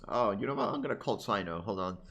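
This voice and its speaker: A man's voice: on the low side, lively intonation, fast, fairly bright tone. A man giving casual, irreverent, sweary reaction commentary as he streams a game. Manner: irreverent, profane, interjecting. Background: game music.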